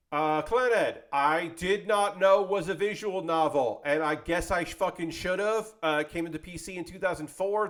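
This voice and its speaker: A man's voice: a little high, fairly bright tone. A man hosting an anime video series in a casual, rambling, conversational style, self-deprecating and given to tangents and mild swearing. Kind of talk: casual rambling vlog commentary.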